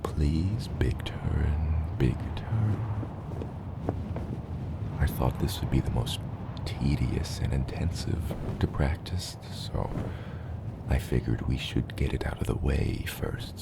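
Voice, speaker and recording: A man voicing a gentle, proud dragon father in an ASMR roleplay, tenderly encouraging his hatchling in a gravelly, often breathy and whispered voice. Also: very deep voice, big pitch swings, heavily acted. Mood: playful, caring. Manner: slow, tender, encouraging, softly playful.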